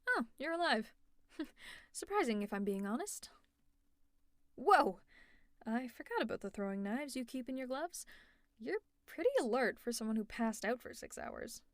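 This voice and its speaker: A woman's voice: on the high side, animated, normal speed, pauses often, no room sound, clean studio sound, natural voice. A woman playing a teasing, exasperated, secretly caring female supervillain in an ASMR audio roleplay, with a sarcastic, conversational delivery. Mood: amused, surprised.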